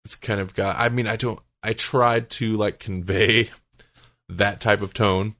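A man's voice: lowish voice, steady tone, a little slow, fairly bright tone, dry recording. A man hosting a podcast in casual chat, with a joking, rambling manner. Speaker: podcast host.

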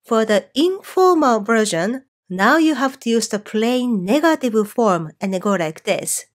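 A woman teaching a Japanese course online, explaining clearly and slowing down on example phrases. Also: on the high side, animated. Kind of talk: scripted online lesson.

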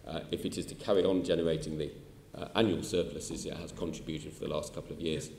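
Low-voiced older British man, halting testimony. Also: fairly even intonation, vocal fry, darkish tone. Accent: British English. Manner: measured, halting, many filler sounds. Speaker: chief executive answering questions. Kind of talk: formal committee testimony. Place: large council chamber. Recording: echoey hall with faint ambience.

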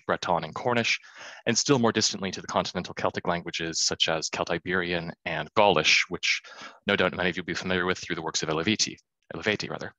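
Guy in his 30s giving a zoom lecture, real deep voice, bright and fast, lots of ums. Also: dry recording, rough recording. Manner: quick, self-deprecating, many fillers. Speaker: conference speaker. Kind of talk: academic presentation. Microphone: video call.

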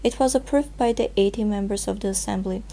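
A woman's voice: medium pitch, fast, mellow, a little hiss or hum. A young woman reading scripted news in a formal manner as a presenter. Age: young adult.

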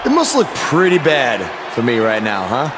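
A man's voice: medium pitch, huge pitch swings, normal speed. A man playing an earnest young underdog wrestler in a video game story. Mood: defiant. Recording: noisy arena and backstage ambience.

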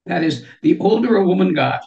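A woman's voice: lowish voice, expressive, brisk, creaky, dark tone, lo-fi sound. An older woman, a guest on an interview show, speaks from home over a video call, with many restarts and "you know" fillers. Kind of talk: interview conversation. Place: home room with shelves.

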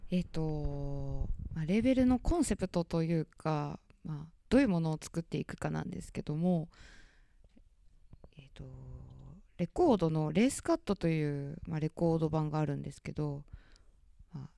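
A young woman talks softly and hesitantly into a handheld mic, her voice mellow and smooth. She sounds slightly low for a woman. The talk is casual, recorded in a dim cafe radio studio. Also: expressive, normal speed, no room sound. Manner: soft-spoken, hesitant with many fillers. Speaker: label manager.

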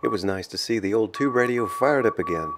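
A man giving a measured, halting voice-over narration as a hobbyist explaining his own project. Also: lowish voice, lively intonation, background noise. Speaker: amateur radio hobbyist narrating own restoration.

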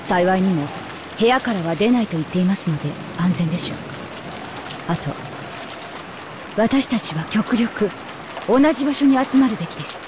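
A woman's voice: mid-range voice, lively intonation, normal speed, long pauses, lightly acted. A woman playing a stern, coldly dignified mother in an anime visual novel, speaking in a formal, authoritative way. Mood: cold, composed. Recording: clear rain noise behind.

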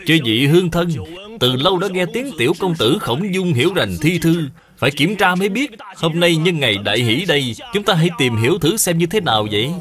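A man's voice: a little high, lively intonation, a little fast, a bit bright. A man plays a sly cartoon guest putting someone to the test. His voice is raised and swooping, full of showy courtesy, over music. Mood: showy, probing. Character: sly guest publicly testing a child. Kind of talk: dubbed educational cartoon acting.

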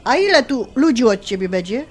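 A high, swooping female voice: a blunt highlander mother from a Polish radio play, old-fashioned and scolding, laying down the law in folksy dialect. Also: big pitch swings, brisk, soft background music. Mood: brusque, nosy. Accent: Polish highlander (Podhale). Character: bossy middle-aged mother, blunt, old-fashioned, pious. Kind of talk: radio drama acting.

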